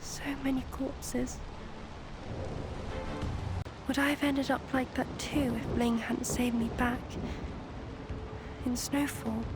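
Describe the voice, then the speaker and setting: An actress playing a gentle, anxious young woman in a video game, earnest and hesitant, with ambient noise behind her. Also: high-pitched, steady tone, unhurried, over music. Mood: shaken, sorrowful. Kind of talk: dramatic video game voice acting. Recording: clear background ambient noise.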